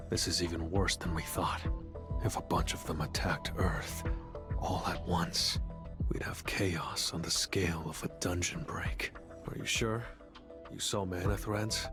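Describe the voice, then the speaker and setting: A man's voice: low voice, steady tone, unhurried. A man playing a cool, guarded young hunter in a video game, restrained and serious. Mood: grave. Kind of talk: scripted video game cutscene acting. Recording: music behind the voice.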